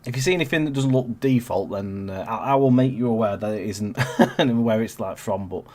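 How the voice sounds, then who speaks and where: A man's voice: lowish voice, brisk, darkish tone. A man in his thirties with a stuffy, congested voice, casually chatting as he hosts a gameplay livestream, rambling with lots of fillers. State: congested with a cold. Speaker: stream host.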